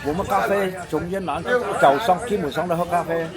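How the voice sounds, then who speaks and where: A man's voice: on the high side, brisk. An older local man chats casually at a tea house, patiently repeating words to teach them. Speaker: local resident. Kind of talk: casual street chat. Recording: loud music and crowd.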